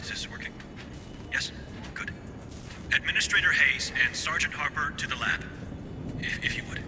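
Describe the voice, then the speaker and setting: A man's voice: on the high side, animated, normal speed, background noise, natural voice. An actor playing a fussy scientist doctor in a game, hesitant and pedantic, faintly pompous and fascinated by his work. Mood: polite, hesitant. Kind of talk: cinematic game voice acting.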